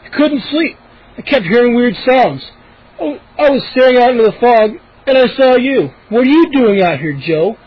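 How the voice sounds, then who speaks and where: Man voicing bully neighbor boy, taunting, high and husky. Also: lively intonation, moderate pace, dull audio, full character voice. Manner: taunting, pushy. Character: big bullying boy neighbor, rough teaser.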